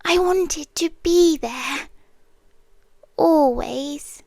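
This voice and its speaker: A possessive monster girlfriend, played by a woman, speaks in a high British voice. She goes slowly and softly, often sinking into a whisper, with obsessive, protective devotion. It is an ASMR roleplay, recorded close and very dry. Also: creaky, no room sound, exaggerated voice. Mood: pleading devotion. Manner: slow, hushed, often dropping into whispers. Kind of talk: ASMR roleplay, intimate soft-spoken monologue. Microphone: close ASMR microphone, dry sound.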